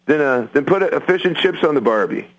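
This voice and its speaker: A man's voice: fairly high pitch, expressive, quick pace, no room sound, natural voice, low-quality recording. An actor playing a dim, hesitant man calling in to a radio show. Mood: clueless. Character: clueless male radio caller.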